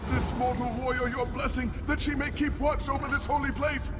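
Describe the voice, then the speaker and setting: High, fervent priest in battle. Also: male voice, flat delivery, brisk. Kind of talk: epic fantasy game voice acting. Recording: distant, under loud music and rumble.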